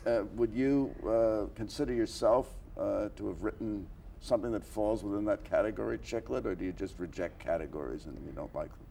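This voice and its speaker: An older man hosting an interview in a formal talk-show style, halting and deliberate, pausing often between phrases. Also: lowish voice, creaky, mellow, a little hiss or hum. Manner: halting, deliberate, frequent pauses. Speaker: interview host.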